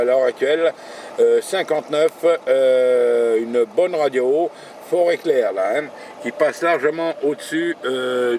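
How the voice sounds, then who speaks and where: A man's voice: medium pitch, darkish tone, a little hiss or hum. A man working as a radio operator from a vehicle, calling and chatting in radio jargon with spelled-out call signs. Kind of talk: radio contact calls and chat. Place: in a vehicle, mobile station.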